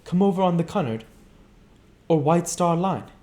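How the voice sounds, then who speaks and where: A high, affected young society woman from a novel , as a man acts her out. She gushes in a breathless singsong, with brittle, strained gaiety. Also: expressive, moderate pace, mellow, natural voice. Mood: forced gaiety.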